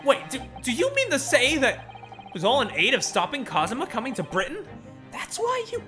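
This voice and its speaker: A streamer voicing an earnest young male lawyer who is high-pitched, excitable and indignant, over game music. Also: brisk. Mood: indignant, shocked.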